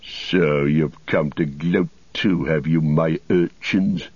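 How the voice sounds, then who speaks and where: Guy doing sneering old fallen wizard for an audiobook, cracked deep voice, kinda muffled and echoey. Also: fairly even intonation, a little mellow, exaggerated voice. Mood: sneering mockery. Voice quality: cracked, husky. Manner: mocking, drawn-out archaic phrasing.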